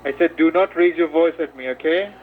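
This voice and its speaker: A man's voice: on the high side, fairly quick. A bossy, impatient man scolding and giving orders in a phone conversation as a scam caller. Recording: muffled, noisy phone line.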